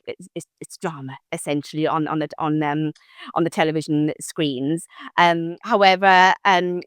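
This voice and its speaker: Welsh woman with a low, dry voice. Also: clean tone, dry recording. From South Wales. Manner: reflective, many fillers and restarts. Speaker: guest, former diplomat. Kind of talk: podcast interview.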